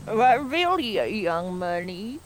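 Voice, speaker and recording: A woman's voice: medium pitch, very animated, slow, loud music behind the voice. A woman doing an ancient cackling crone. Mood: sly. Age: older. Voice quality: old rural drawl.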